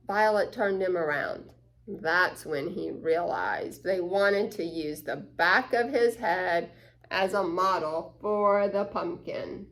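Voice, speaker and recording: A woman's voice: mid-range voice, rough recording. A woman reading a children's picture book aloud expressively, with little asides to her listeners. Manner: expressive, dramatic shifts between hushed and excited. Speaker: children's story time reader.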